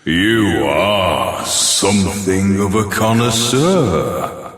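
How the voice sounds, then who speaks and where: A slow, grandiose ancient dragon lord, echoing. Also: male voice, deep voice, animated, a bit bright, full character voice. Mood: wry, amused. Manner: grandiose, theatrical, drawn-out delivery. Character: ancient, imperious wish-granting dragon lord, grandly amused by mortals. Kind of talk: fantasy video game voice acting. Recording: echoing, long hall-like reverb.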